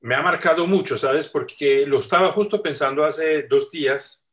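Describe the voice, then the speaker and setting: A man from Italy with an Italian accent, speaking as a guest on a video call in a warm, reflective way with frequent pauses. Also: a little high. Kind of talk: casual video-call interview.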